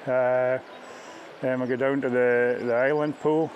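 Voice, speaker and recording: Middle-aged Scottish male ghillie, unpolished and halting, explaining informally outdoors over light ambient noise. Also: mid-range voice, little pitch movement, dull audio. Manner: halting, plain-spoken. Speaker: fishing ghillie on a river beat. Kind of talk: informal interview-style explanation of a fishing beat. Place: outdoors on a riverbank.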